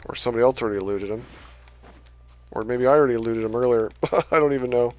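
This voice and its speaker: A man's voice: mid-range voice, quick pace, darkish tone, dull audio, soft background music. A man giving casual, rambling gameplay commentary on a livestream, his voice starting to tire. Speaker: game livestreamer.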